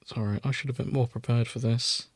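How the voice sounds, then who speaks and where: A man's voice: medium pitch, fairly even intonation, fairly quick, no room sound. Man chatting casually, dry close sound. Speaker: podcast co-host. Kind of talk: casual chat.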